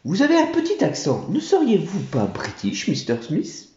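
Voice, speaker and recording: A man voicing a calm male traveller who asks probing, dry questions. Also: high voice, moderate pace. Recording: roomy, dull home recording.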